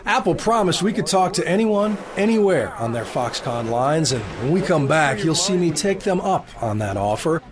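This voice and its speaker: A man's voice: on the high side, very animated, moderate pace, hardly any pauses, a crowd in the background. A man reading scripted news narration as a television reporter.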